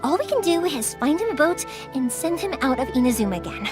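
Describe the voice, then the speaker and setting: A woman's voice: high-pitched, fairly quick, over music. A woman voicing a caring young woman who makes fireworks in a video game, chatty and reassuring. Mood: hushed urgency. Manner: animated, reassuring, casually chatty. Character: cheerful, caring young woman fireworks maker, loyal to customers. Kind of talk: English video game voice acting.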